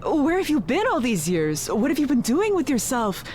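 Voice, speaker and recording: A woman's voice: high voice, huge pitch swings, normal speed, darkish tone, slight background noise. A woman voicing a friendly, forgetful villager in chatty, effusive video game dialogue. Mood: delighted, curious.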